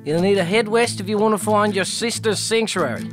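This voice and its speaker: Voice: mid-range voice, a little fast, close to an everyday voice. A performer voicing a cheerful, folksy koala who jokes and calls everyone mate. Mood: cheery. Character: cheery laid-back Australian koala in a tree. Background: music.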